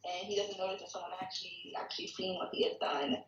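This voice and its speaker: A woman with a mid-pitched voice shares her thoughts casually in a workshop. She is tentative and halting, working ideas out as she speaks. The room echoes noticeably around her voice. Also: very animated, vocal fry, lo-fi sound, a little hiss or hum. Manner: tentative, halting, thinking aloud. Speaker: participant giving script feedback at a table read.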